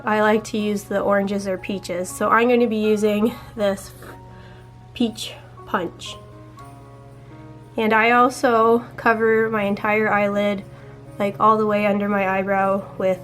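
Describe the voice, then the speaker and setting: A young woman chatting casually while doing her makeup, her voice a little raspy, walking through each step in a relaxed way. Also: on the high side. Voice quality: slightly raspy. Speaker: beauty vlogger.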